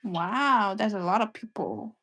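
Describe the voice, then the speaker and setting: A woman's voice: medium pitch, a little slow, fairly bright tone. A young woman with a mix of Cantonese and British English accent, chatting casually and giggling, with lots of "like" fillers and trailing sentences. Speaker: livestream co-host. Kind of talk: casual chat with partner.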